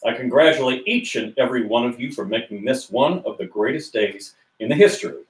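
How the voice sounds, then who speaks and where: A man's voice: mid-range voice, quick pace, natural voice. A man playing a triumphant corporate executive in his fifties, giving a speech in a reading. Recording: echoey room, distant mic.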